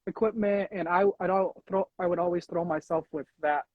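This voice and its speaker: A man's voice: sits high, fairly even intonation, fairly quick, no echo. A young American man hosting a casual interview, talking in a rambling way with frequent restarts. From United States. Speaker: host. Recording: dull lo-fi sound.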